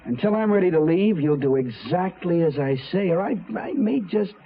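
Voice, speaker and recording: Creepy young killer in old radio play, fake polite, voice swings all over, tinny audio. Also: male voice, sits high, lively intonation. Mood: controlling, sinister. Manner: halting, mock-courteous, sinister. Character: menacing young male killer, falsely polite, unstable. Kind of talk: old-time radio drama acting. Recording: tinny radio recording with background noise.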